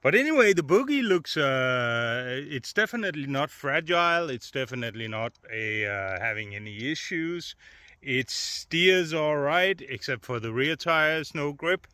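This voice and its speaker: A man's voice: a little high, animated, measured pace, sharp tone. A man giving a casual voice-over review as a hobbyist, rambling along hesitantly and often repeating words.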